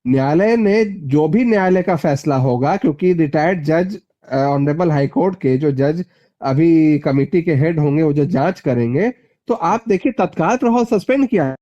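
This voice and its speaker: Male political interviewee on a phone call, high voice, insistent and repetitive for stress. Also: animated, normal speed, warm tone. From Bihar, India (Magadh region). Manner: emphatic, repeats phrases for stress. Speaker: party politician being interviewed.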